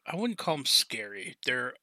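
Male podcast host, very bright and sharp, dry recording, casual and halting. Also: fairly high pitch, animated, vocal fry, dry recording.

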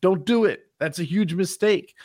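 A man's voice: high voice, normal speed, a little mellow, no echo, clean studio sound. A man co-hosting a casual podcast chat, exasperated and scolding. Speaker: podcast co-host.

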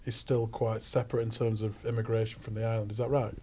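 A male podcast co-host chatting in a relaxed way, thinking aloud as he speaks. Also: fairly low pitch, fast talker. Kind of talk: casual podcast interview.

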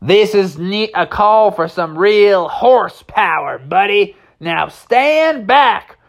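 A hearty old cowboy horse, as a storyteller puts him on gives orders in a slow, drawling voice. The voice sits somewhat above the teller's own and lively. His lines swing between bossing and whooping. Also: medium pitch, vocal fry, pitched above the performer's own voice. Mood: commanding.

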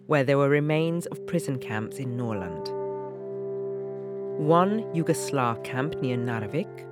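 A man reading scripted documentary narration in the role of narrator. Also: fairly high pitch. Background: music with brass.

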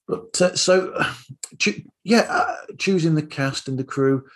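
A man's voice: fairly high pitch, animated, a little slow. A man hosting a podcast, asking casual, rambling questions in a tentative way. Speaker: podcast host, interviewer. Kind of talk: casual interview chat.

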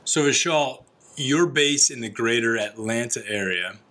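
A man's voice: medium pitch, a little slow, pushed and bright. A man hosting a corporate podcast, asking conversational, leading questions. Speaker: podcast host.

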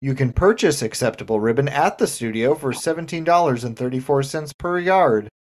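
A man acting as a small-town radio arts host in a comedy podcast drama. He is reluctant and flustered, with a mid-pitched voice, and he speaks in a deadpan, faintly exasperated way. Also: brisk, natural voice. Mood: announcing. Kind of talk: audio fiction comedy as radio broadcast.

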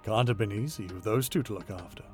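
A man's voice: lowish voice, fast talker, darkish tone, faint music behind, natural voice. A man playing a stern, battle-hardened military commander in a science fiction audio drama, speaking tersely. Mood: gruff approval. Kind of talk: sci-fi audio drama acting.

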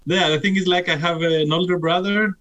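Greek guitarist rambling casually. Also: male voice, sits high, fairly even intonation, brisk, fairly bright tone. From Greece. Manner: rambling, heavy use of "like" and "you know". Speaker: guest musician. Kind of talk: casual interview talk.

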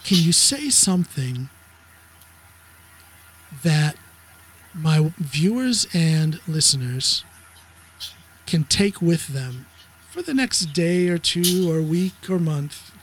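A man's voice: fairly high pitch, big pitch swings, slow, long pauses, husky. A man hosting a podcast interview, encouraging and folksy, with lots of short affirmations. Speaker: podcast host. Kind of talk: casual interview chat.

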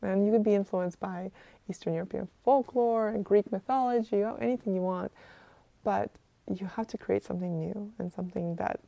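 A woman's voice: medium pitch, brisk, creaky, dark tone, no room sound. A woman chatting casually as an interview guest. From Kazakhstan, moved to Canada aged ten.

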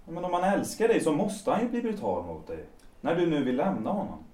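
Imagine a young man voicing an evasive lover who hedges and speaks softly, with a Swedish accent. His voice is warm and dark, and it sits back from the mic in a roomy space. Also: on the high side, sing-song, distant mic. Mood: hesitant. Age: young adult. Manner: hesitant, soft, evasive. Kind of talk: Swedish audio drama acting.

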